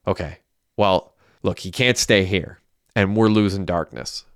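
A man reading as a sharp-tongued young guy in an audiobook, sneering and full of curses. The voice is on the low side and clean, close and dry. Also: a little slow, no room sound. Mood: tense. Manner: sarcastic, swearing. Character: young man, sardonic, quick-tempered.

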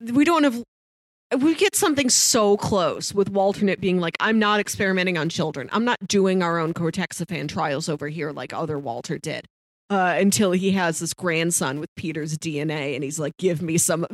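A woman's voice: medium pitch, no echo. A woman co-hosting a casual, conversational discussion show. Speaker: podcast co-host.

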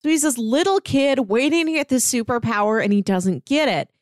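A woman talking casually as a podcast panelist, retelling and reviewing in an expressive storytelling way. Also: on the high side, brisk, no echo, studio quality.

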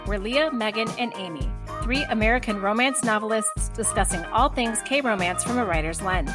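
A woman's voice: fairly high pitch, moderate pace, music in the background. A woman co-hosting a romance podcast, with a friendly, upbeat manner. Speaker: podcast co-host. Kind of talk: casual chat.

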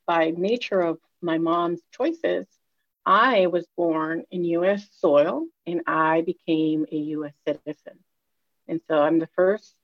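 A woman in her thirties from Puerto Rico, telling her life story in a radio interview, reflective and halting with many fillers. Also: on the low side, no room sound, muffled recording. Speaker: guest, new host.

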